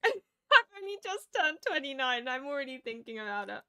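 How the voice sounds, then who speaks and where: A woman in her late twenties chatting casually on a stream, animated and laughing often. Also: high voice, normal speed, clean tone. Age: 20s. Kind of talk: casual chat.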